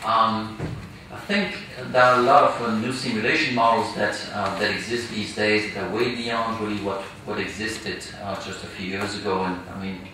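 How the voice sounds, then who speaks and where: Male panelist, low voice, halting with fillers, distant mic in an echoey noisy hall. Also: fairly even intonation, pushed and bright. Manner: hesitant, many fillers and restarts. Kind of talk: conference panel discussion. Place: large conference hall.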